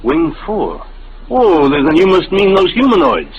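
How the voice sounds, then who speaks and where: An actor playing a flustered, stubborn small businessman and husband in an old radio drama, stammering and gruff. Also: fairly high pitch, huge pitch swings, measured pace, highs cut off. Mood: hesitant, affable. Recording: noisy old radio recording.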